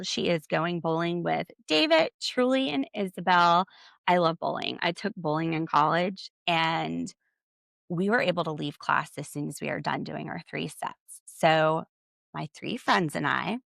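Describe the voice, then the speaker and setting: A woman hosting a podcast alone, recapping a reality show in a chatty, sarcastic way with jokey asides and wide swings in pitch and pace. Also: creaky, a bit bright, no room sound. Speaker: podcast host. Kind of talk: solo recap commentary.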